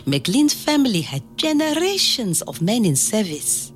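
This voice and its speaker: A woman playing a proud, fond grandmother in an audio drama, telling her life story to her grandchild as an expressive storyteller. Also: a little high, normal speed, soft background music. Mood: measured storytelling.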